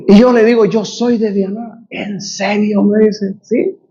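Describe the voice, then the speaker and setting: A man's voice: sits high, normal speed, dull audio. A male pastor from Guatemala with a Guatemalan Spanish accent, preaching a sermon and telling a personal story to his congregation. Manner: animated storyteller addressing congregation as brothers. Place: church.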